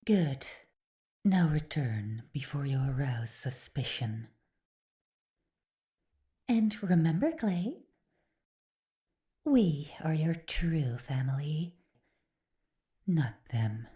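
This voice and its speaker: Commanding female high-council ruler acted by a woman, slow and measured, swooping intonation, fantasy audio drama. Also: sing-song, mellow, heavily acted. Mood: cool warning. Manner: stately, deliberate, authoritative.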